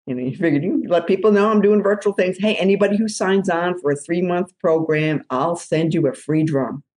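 A woman's voice: brisk, a little mellow. A woman drum therapist, a podcast guest, telling stories with energy and acting out other people's voices. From New Jersey. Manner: acts out other people's voices with big jumps in pitch. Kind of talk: animated storytelling in conversation.

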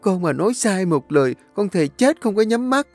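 A man's voice: medium pitch, expressive, moderate pace, darkish tone, no echo, soft background music, lightly acted. A man voicing a desperate young village woman who pleads and wails for mercy. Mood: begging, wailing. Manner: wailing, pleading.

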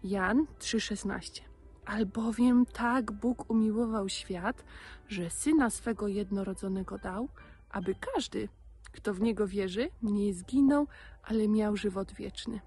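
A woman's voice: mid-range voice, a little slow, warm tone, husky, soft background music. A woman in her thirties teaching children in simple words, emphatically, repeating key words. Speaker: presenter of a children's Bible lesson.

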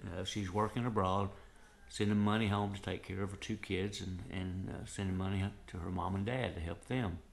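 An older man with a Southern drawl speaks in a deep voice. He tells his story to the camera from his living room, earnest and rambling, with plenty of "and, uh" fillers. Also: steady tone, normal speed, gravelly. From Southern United States. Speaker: vlogger.

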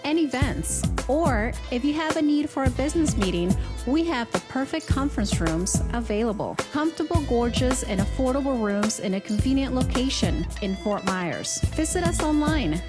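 A woman's voice: high voice, big pitch swings, normal speed. A woman reading scripted advertisement narration as a commercial voice-over announcer. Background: loud music.

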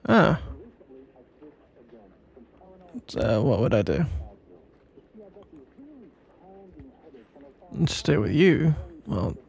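Man acting a gravelly, flustered young best friend. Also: mid-range voice, big pitch swings, a little mellow. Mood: awkward, flustered. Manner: halting, stammering, awkward, mumbling. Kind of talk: intimate ASMR audio roleplay.

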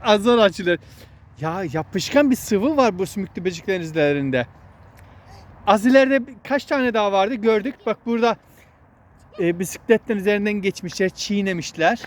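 A woman's voice: medium pitch, lively intonation, moderate pace. A woman hosting a casual outdoor vlog, explaining nature to children in an instructive way and often repeating her words. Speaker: vlog host guiding children. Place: outdoors in a wooded area.

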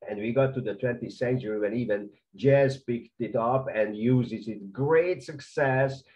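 A man's voice: medium pitch, a little mellow. Hungarian-accented man lecturing about music. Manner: enthusiastic, with halting non-native phrasing and restarts. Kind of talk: informal music history lecture. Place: home office, indoors. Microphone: webcam at desk. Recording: dull lo-fi webcam audio.

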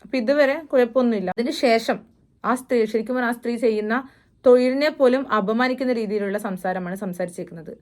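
A woman's voice: fairly high pitch, fast talker, darkish tone. A woman giving disapproving, at times sarcastic opinion commentary as a reaction video host.

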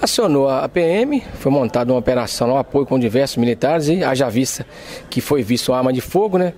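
A man's voice: mid-range voice, animated, fast talker, a little mellow. A man with a Brazilian accent, a police sergeant, giving an unscripted account in official jargon for a news interview.

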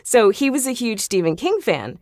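A woman talking casually and chattily as an interview guest, telling stories in an animated way. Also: on the high side, normal speed, no room sound, studio quality. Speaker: podcast guest, video game voice actor.

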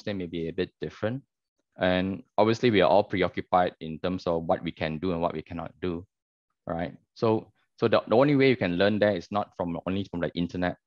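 A middle-aged man gives informal advice in a deep, low voice. The video call sound is dull but dry, with little room in it. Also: dry recording. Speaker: architect, panel speaker. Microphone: video call microphone.

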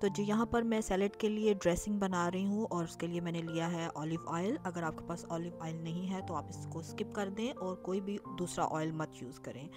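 A woman's voice: fairly low pitch, steady tone, moderate pace, few pauses. A woman narrating her cooking and dinner-outing vlog in a chatty, explanatory way, with frequent filler sounds. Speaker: lifestyle vlogger. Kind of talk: casual recipe and travel vlog voice-over. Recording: clear music bed behind voice.

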